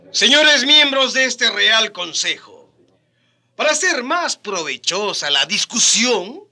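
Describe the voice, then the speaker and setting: A man's voice: sits high, bright, forceful tone, husky, heavily acted. A man playing a haughty, pompous Spanish scholar declaiming in a radio drama debate. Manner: pompous, declamatory, rhetorical questioning. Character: haughty Spanish scholar debating against equality of American Indians.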